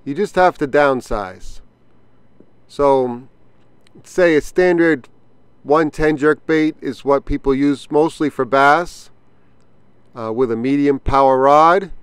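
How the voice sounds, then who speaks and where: A man's voice: on the high side, clean tone, dry recording. Man explaining fishing from a boat. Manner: relaxed explanatory, thinking aloud with fillers. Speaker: fishing channel host. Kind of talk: casual outdoor fishing vlog. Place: small boat on a pond outdoors. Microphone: action camera on the boat.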